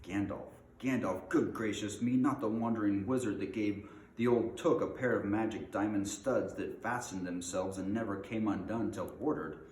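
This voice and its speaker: A man's voice: on the low side, fairly even intonation, normal speed, darkish tone, close to an everyday voice. A man voicing a fussy, polite middle-aged hobbit who is easily flustered, with chatty old-fashioned phrasing, in a storybook reading. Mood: astonished, excited. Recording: roomy echo, faint background noise.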